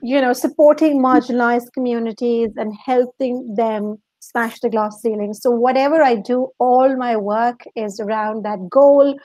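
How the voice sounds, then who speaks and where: A woman's voice: a little high, steady tone, unhurried, few pauses, dark tone, no room sound, poor audio. A woman from Pakistan answering interview questions as a guest coach, explaining things at length.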